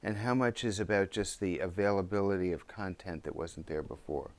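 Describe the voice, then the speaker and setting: A man's voice: low-pitched, brisk, a little hiss or hum. A man interviewing in a conversational way, with a wry, teasing manner.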